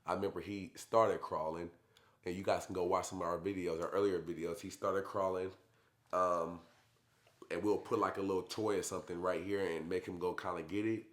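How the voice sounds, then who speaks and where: A young man and father chatting casually in a family vlog, hoarse from being sick, talking in a laid-back, slangy way. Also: sits low, steady tone, brisk. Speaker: vlogger, father. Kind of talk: casual chat.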